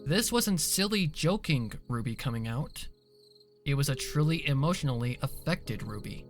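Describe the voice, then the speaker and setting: A man's voice: mid-range voice, animated, moderate pace, faint music behind. A man reading scripted dramatic story narration as the narrator of an audio drama.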